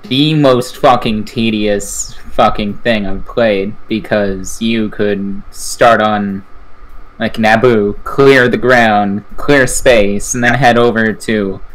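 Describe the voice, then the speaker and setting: A man's voice: lowish voice, steady tone, slow pace, rough recording. A man chatting casually about video games as a podcast co-host on a voice call, slangy and sweary. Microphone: voice chat call.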